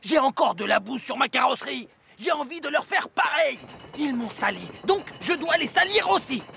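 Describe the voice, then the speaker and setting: A man's voice: extremely high pitch, animated, moderate pace, gravelly, sharp tone, exaggerated voice. A man voicing a small, proud, sulky talking steam engine in a children's cartoon, with a petulant, whining, exclamatory delivery. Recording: music playing behind.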